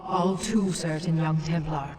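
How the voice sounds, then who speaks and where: An actress playing a stern, commanding alien matriarch in a video game, imperious and ceremonial, with heavy reverb. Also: female voice, deep voice, unhurried, a little mellow. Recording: cavernous echo, distant, music behind.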